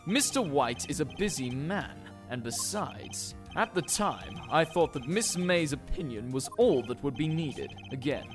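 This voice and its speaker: A man's voice: a little high, animated, a little slow, over music. A man playing a formal, composed, polite prosecutor in an amateur game fandub. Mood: smoothly apologetic. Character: formal, composed, smooth-talking male prosecutor.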